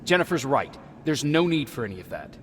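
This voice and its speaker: A man playing a dutiful resistance soldier in a video game, speaking in a measured, earnest way. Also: medium pitch, very animated, slight background noise, natural voice. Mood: quiet, earnest. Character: calm, dutiful young male resistance soldier. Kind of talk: video game dramatic acting.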